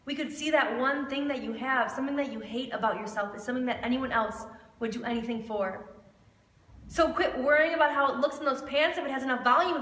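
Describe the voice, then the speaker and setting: A young woman performing rehearsed slam poetry with an emphatic, rhythmic delivery and deliberate pauses. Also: sits high, a bit bright. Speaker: slam poet. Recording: echoey hall with long reverb.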